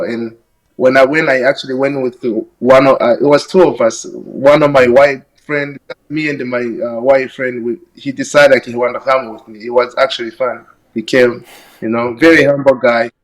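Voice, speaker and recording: A young man from Burundi, a podcast guest, talking over his life in a halting, reflective way full of fillers. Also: medium pitch, fairly even intonation, poor audio. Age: young adult. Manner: reflective, halting, many "you know" fillers. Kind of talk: casual conversation.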